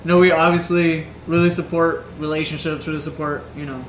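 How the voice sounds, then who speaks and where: A man with a slightly high voice rambles through casual podcast chat and teases himself along the way. His voice rings in a roomy space with faint noise behind it. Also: level tone. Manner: quick, rambling, self-mocking. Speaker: podcast co-host.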